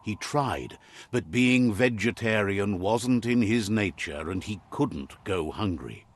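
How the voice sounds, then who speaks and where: An actor voicing a wry, wise male storyteller, narrating a video game fable in a measured, theatrical way. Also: fairly low pitch, expressive. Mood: grave, ominous.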